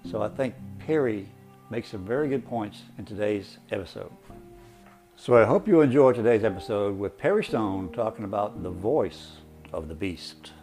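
A man hosts a podcast in a fairly low voice. He introduces the material in a conversational, explanatory way. Faint music plays behind him. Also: normal speed, a little mellow. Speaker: podcast host.

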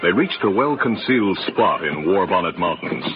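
An actor narrating a western adventure in dramatic old-time radio style. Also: male voice, low voice, animated, normal speed. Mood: measured. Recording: muffled vintage radio recording, music behind.

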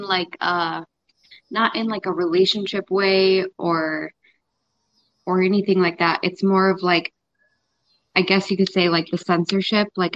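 A woman's voice: medium pitch, a bit bright, no echo, muffled recording. A young woman guest chatting casually and hesitantly, full of filler words. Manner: hesitant, lots of likes. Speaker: livestream guest. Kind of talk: casual chat.

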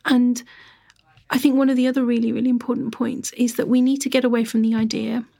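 A woman historian giving measured, emphatic answers in an interview. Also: fairly high pitch, level tone, creaky, warm tone. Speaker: historian, guest.

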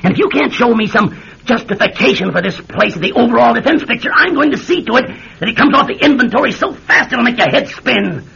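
An actor playing a pompous, bullying senior senator with a raspy, blustering delivery in a classic radio drama. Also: male voice, extremely high pitch, lively intonation, fast talker, bright, forceful tone, heavily acted. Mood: indignant, threatening. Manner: blustering, imperious. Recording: dull old radio drama recording.

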